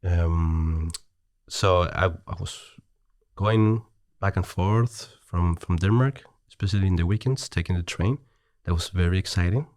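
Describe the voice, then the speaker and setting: A man's voice: low-pitched, measured pace, a little mellow, no echo, clean studio sound. A middle-aged man from Chile with a Chilean Spanish accent, chatting as a podcast guest, hesitant with many restarts and easy laughter. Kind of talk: casual conversation.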